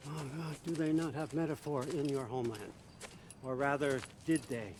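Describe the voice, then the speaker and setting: An actor playing a manipulative, grandiose god in a video game, with a deliberate, theatrical and needling delivery. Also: male voice, mid-range voice, fairly even intonation, background noise, close to an everyday voice. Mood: mocking. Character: smooth-talking manipulative god, mocking and grandiose. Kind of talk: cinematic video game acting.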